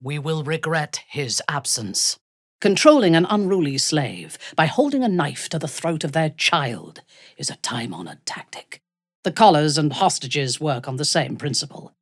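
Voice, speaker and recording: A woman playing a ruthless, imperious female dark elf warrior in a video game, with commanding, disdainful and measured delivery. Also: deep voice, big pitch swings, no room sound, studio quality, heavily acted. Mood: cold contempt. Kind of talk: video game character acting.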